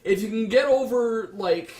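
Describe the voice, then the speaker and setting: A man's voice: high-pitched, normal speed, darkish tone, low-quality recording. A man in a casual pop-culture debate, making wordy, hedged arguments as one of the show's panelists. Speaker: debate show panelist and moderator.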